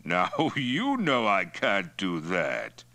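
Guy doing a blustery mongol warlord for an audiobook, pitched way up, forceful, jovial but wolfish. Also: wildly swooping pitch, takes it slowly, exaggerated voice. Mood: genial menace. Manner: blustering, jovial yet menacing, exclamatory. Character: hearty, domineering Mongol chieftain, wolfish and boastful. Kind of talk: acted dialogue in audiobook narration.

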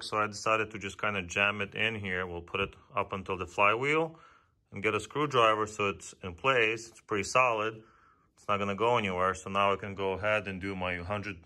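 Casual DIY man explaining engine work. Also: fairly low pitch. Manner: relaxed, chatty, lightly joking. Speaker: DIY car repair vlogger. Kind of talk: casual how-to explanation while working.

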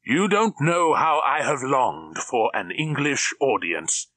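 A man's voice: medium pitch, very animated, slow, fairly bright tone, no echo, pitched above the performer's own voice, exaggerated voice. A man voicing a cold, menacing middle-aged villain with a clipped, commanding manner. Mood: coldly gloating. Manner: clipped, commanding, coldly mocking.

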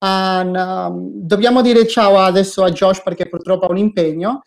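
Hesitant middle-aged Italian host. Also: male voice, high-pitched, steady tone, a little fast, vocal fry. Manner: hesitant, polite, with restarts. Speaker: webinar host. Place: home with stairs, indoors. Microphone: video call.

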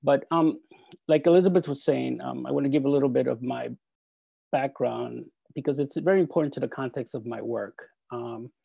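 Gravelly-voiced man, halting and full of fillers. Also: mid-range voice, mellow, no room sound, dull audio. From El Salvador. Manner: halting, many fillers and restarts. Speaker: artist. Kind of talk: video call talk with slides. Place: home art studio. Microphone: earphone mic on video call.

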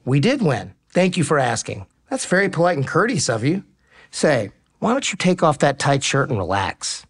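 A man's voice: a little high, very animated, moderate pace, breathy edge, full character voice. An actor playing a cocky, teasing male pro baseball player in a romantic comedy audio drama. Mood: flirtatious, playful.

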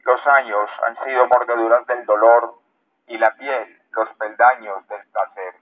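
A man's voice: mid-range voice, steady tone, a little slow. Tinny-sounding man reciting poetry. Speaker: poet reading in public. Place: outdoor public park. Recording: thin, band-limited megaphone sound outdoors.

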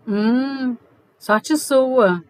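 High-voiced confident seductress. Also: female voice, drawn-out words, darkish tone, low-quality recording. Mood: teasing, seductive. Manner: flirtatious, bantering. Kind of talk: audio drama acting.

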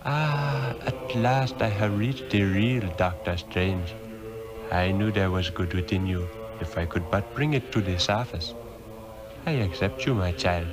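A man acting an ancient, wise sorcerer master in a 1960s radio drama, speaking slowly, gravely and formally. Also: deep voice, poor audio. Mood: solemn, pleased. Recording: old radio broadcast with music underneath.

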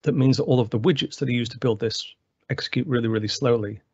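Gravelly-voiced man hosting a software tutorial, loose and full of fillers, on dry, dull-sounding audio. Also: mid-range voice, quick pace, no echo. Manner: rambling, many fillers and restarts. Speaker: presenter. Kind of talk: technical webinar walkthrough. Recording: dry, slightly muffled webinar audio.

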